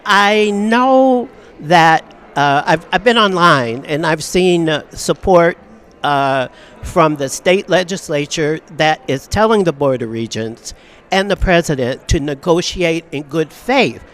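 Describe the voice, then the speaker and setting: An older woman from Southern California, a professor speaking earnestly and in measured phrases in an outdoor news interview on campus. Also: low-pitched, expressive.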